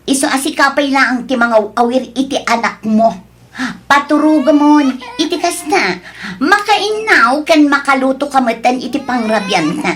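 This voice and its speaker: A woman playing a demanding, selfish mistress in an Ilocano radio drama, coaxing and haughty, quick to snap. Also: high voice, a little hiss or hum, natural voice. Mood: irritated, haughty.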